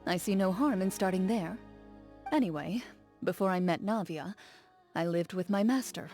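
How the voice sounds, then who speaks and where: Casting: actress as a guarded young duelist, dark mellow tone, slightly low, formal and restrained. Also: female voice, natural voice. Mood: reflective. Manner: calm, measured, formal and reserved. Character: stoic young woman duelist, composed and guarded. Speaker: game character. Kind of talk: game character voice lines.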